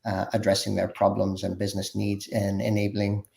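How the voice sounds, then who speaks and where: Creaky, low-voiced man speaking haltingly. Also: monotone, a little mellow. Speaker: panel guest, tech sales consultant. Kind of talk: informal self-introduction.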